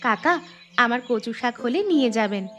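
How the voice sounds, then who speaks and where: Woman voicing a high-voiced humble village mother. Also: animated, moderate pace, sharp tone, faint music behind. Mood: kind, modest. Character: kind, humble poor young village mother. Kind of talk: cartoon story dialogue.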